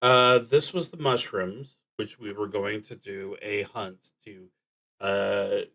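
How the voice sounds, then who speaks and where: A man streaming a solo tabletop game, thinking aloud in a halting, deliberate way and often trailing off. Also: on the low side, fairly even intonation, sharp tone. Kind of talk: thinking aloud while playing a solo card and dice game.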